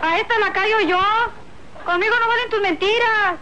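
Feisty ranch girl in radio serial, super high voice, slightly hissy recording. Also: moderate pace, bright, forceful tone, dull audio. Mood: indignant. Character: feisty, sassy, gossipy young ranch woman. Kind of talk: old radio serial acting. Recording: faintly noisy old radio recording.

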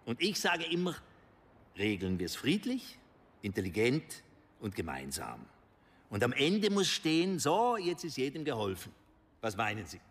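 An actor playing an older banker in a radio drama, persuasive and condescending, with measured phrasing. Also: male voice, mid-range voice, wildly swooping pitch, a bit bright, husky, in a large hall, heavily acted. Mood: patronizing. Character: smooth older male banker, persuasive, patronizing, discreet.

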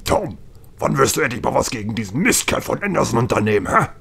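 A man's voice: mid-range voice, sing-song, fast talker, vocal fry, a bit bright, slightly rough, exaggerated voice. A man playing a grumpy, flustered, indignant townsman in an audio drama, stammering at times. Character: grumpy, flustered male townsman obsessed with his garden gnomes.

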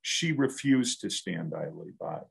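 A man in his sixties delivers a prepared ceremonial address in a formal, measured way. His voice is fairly low and gravelly, with a husky edge, as he speaks into a computer microphone on a video call. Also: expressive. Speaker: university dean. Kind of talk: scripted commencement speech.

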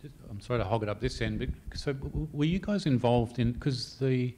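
Mellow, hesitant man asking questions. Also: mid-range voice, fairly quick, a little hiss or hum. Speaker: panel discussion participant. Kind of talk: informal questioning.

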